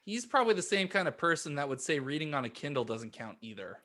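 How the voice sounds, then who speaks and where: Higher pitched guy, sharp bright voice, earnestly explaining stuff on a casual podcast. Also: very animated, quick pace. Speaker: podcast co-host. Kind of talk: casual debate among friends.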